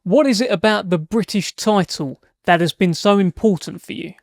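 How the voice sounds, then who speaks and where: A man's voice: sits high, moderate pace, no echo, studio quality. A man working as a host and interviewer, presenting a promotional sports face-off and putting questions to his guests.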